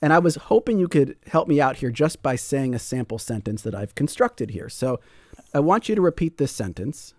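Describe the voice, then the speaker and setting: A man's voice: medium pitch, sing-song, moderate pace, dry recording, studio quality. A man hosting a public radio call-in show, talking in a friendly way and reading out listener comments. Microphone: studio microphone.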